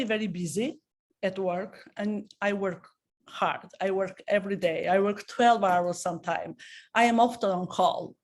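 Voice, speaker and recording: A middle-aged woman from Albania, speaking English with an Albanian accent as a non-native speaker, gives a heartfelt personal testimonial presentation in an earnest manner with non-native phrasing. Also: medium pitch. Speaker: presenter sharing her story.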